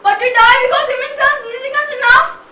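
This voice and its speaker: A woman's voice: extremely high pitch, fairly even intonation, fast, sharp tone. A woman playing a vain, panicky female town mayor in an amateur stage play, melodramatic and wailing. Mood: panicked. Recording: echoey hall, far from mic, faint noise.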